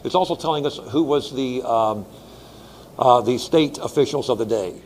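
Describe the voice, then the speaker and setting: A man who teaches, speaking hesitantly in measured phrases. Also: medium pitch, darkish tone, slight background noise. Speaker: Latin teacher. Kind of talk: interview answers and reading aloud.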